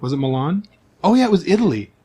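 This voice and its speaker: Guy game master laying out the world for his players, kinda high voice, chatty asides. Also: big pitch swings, fast talker, dark tone, breathy edge. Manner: informal, conversational asides. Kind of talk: explaining a tabletop game setting to players.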